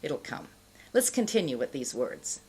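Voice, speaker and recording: A middle-aged woman giving an instructional pronunciation lesson, explaining in a deliberate, carefully enunciated way. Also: low-pitched, sing-song, a little mellow, breathy edge. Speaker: pronunciation teacher. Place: indoor studio, plain backdrop.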